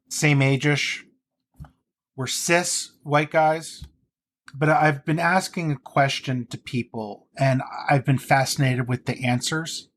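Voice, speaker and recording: A man interviewing a guest conversationally, in a measured, deliberate way. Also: medium pitch, a bit bright, dry recording. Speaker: interviewer, playwright.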